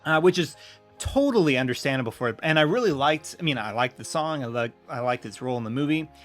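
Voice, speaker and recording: An American man co-hosting a casual podcast, explaining things in a halting, rambling way and often correcting himself. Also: mid-range voice, animated, a bit bright, soft background music. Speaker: podcast co-host.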